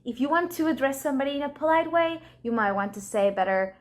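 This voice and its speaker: A woman's voice: sits high, expressive. A Colombian woman, a native Spanish speaker, giving a casual Spanish lesson in English and acting out playful example scenes. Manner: slow, playful, acts out little example scenes. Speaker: Spanish teacher. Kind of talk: casual language lesson to camera.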